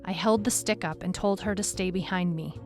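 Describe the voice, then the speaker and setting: A woman playing a tough, weary, skeptical detective who narrates her case in a measured, dramatic, reflective way. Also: on the low side, darkish tone, lightly acted. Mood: grave, suspenseful. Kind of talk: scripted first-person story narration. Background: music bed under the voice.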